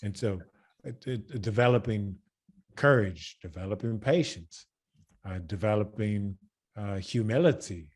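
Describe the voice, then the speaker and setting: A man from Louisiana with an American accent, a character coach speaking as a guest in a casual interview, halting with fillers and repeated words. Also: on the low side, a little mellow. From Louisiana, USA.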